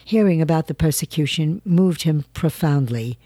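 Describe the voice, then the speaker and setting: A news narrator reads the script in a calm, steady way. The voice is mellow and mid-pitched, recorded close and dry. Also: moderate pace, dry recording.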